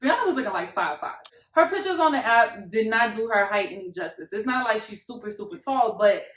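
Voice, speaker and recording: A woman's voice: fairly high pitch, expressive, brisk, fairly bright tone. A woman in her twenties with an American accent, telling a story in a casual, slangy chat to camera. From Miami, Florida, United States. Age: 20s. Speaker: YouTube couple vlogger. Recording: echoey untreated room.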